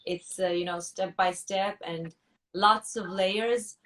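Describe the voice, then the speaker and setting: A woman musician answering interview questions thoughtfully, pausing and restarting often as she searches for words. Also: medium pitch, steady tone, a bit bright, recorded from a distance. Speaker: musician guest.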